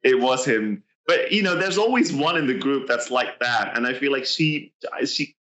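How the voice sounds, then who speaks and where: A man's voice: mid-range voice, animated, normal speed, a bit bright. A man co-hosting a podcast, talking casually and hesitantly, often restarting his sentences. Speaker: podcast co-host. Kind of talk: casual chat about a reality show.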